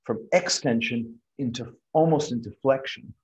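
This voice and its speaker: An American man, middle-aged, lecturing as a surgeon in an informal, explanatory style with a slightly husky voice. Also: medium pitch, moderate pace, highs cut off. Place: indoors, on a video call over slides.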